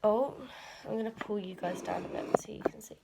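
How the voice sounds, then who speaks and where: A teenage girl chatting to her camera at home, rambling and filling gaps with ums and likes. Her voice is a little croaky and sniffly from a cold, picked up by the camera's mic in a room. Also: mid-range voice, steady tone, brisk, darkish tone, whispered, poor audio. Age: teens. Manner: rambling, chatty, lots of fillers. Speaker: vlogger. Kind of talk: casual chat to camera.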